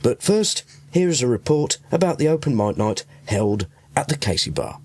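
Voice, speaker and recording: A man's voice: mid-range voice, big pitch swings, moderate pace. A man working as a radio reporter, reading a scripted narration. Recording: music under the voice. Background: guitar music.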